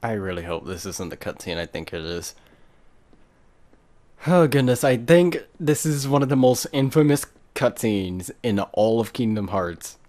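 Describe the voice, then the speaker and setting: A man's voice: fairly low pitch, lively intonation, unhurried, darkish tone. A man giving casual, off-the-cuff commentary as the host of a gaming video. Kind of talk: casual let's play commentary.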